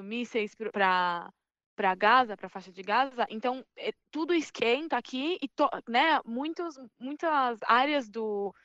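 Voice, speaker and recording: A woman with a fairly high voice talks hesitantly, now and then pausing to search for a word. Her audio is dry and slightly muffled, like lo-fi remote audio. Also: a bit bright, dry recording. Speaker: guest, soldier. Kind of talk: casual conversational interview.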